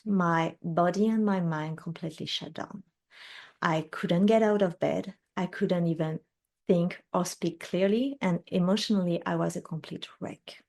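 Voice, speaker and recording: A woman from France in her thirties speaks English with a clear French accent. Her voice sits a little low, and she tells her story in an earnest, measured, reflective way. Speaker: guest, women's health specialist. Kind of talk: interview, personal storytelling.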